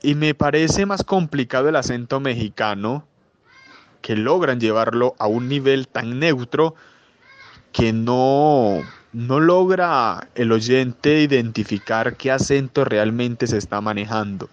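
A man's voice: medium pitch, slow. A man hosting a programme and interviewing a guest in a conversational style. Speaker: radio programme host, interviewer. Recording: dull, lo-fi audio.

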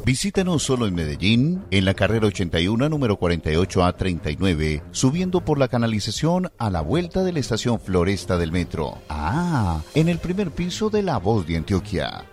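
A man hosts a radio advert. His voice sits fairly low and swings in a very lively way. He speaks like an announcer over a light music bed. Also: brisk, few pauses.

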